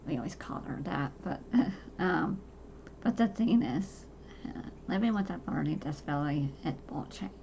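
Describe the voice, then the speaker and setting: Woman in her forties, soft low gravelly voice, halting personal chat, faint noise behind. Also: lively intonation, highs cut off. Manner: halting, many fillers and restarts. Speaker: podcast host. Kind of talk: casual personal talk to camera.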